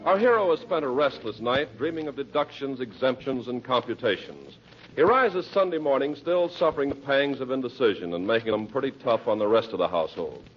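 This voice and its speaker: A man works as a scripted radio announcer in 1940s style. His medium-pitched voice rises and falls in a very animated way. The old recording is dull. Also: brisk.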